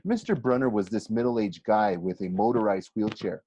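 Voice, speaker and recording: Man, slightly deep voice, expressive audiobook reading, dramatic pauses, muffled recording. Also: slight background noise. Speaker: teacher reading a novel aloud for a class. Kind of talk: expressive first-person audiobook narration. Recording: roomy, slightly dull home recording.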